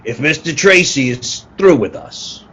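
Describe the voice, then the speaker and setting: Guy doing nervous circus boss, radio play, raspy and gravelly, placating, kinda high for a man. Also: expressive, unhurried, a bit bright, muffled recording, full character voice. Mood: polite. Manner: polite, placating. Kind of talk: old-time radio play acting.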